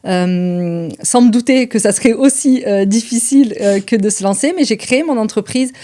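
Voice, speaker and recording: A middle-aged woman chatting casually on a podcast, breaking up her sentences with frequent hesitant pauses. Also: on the high side, warm tone. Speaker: podcast co-presenter, business manager. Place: indoors at her home.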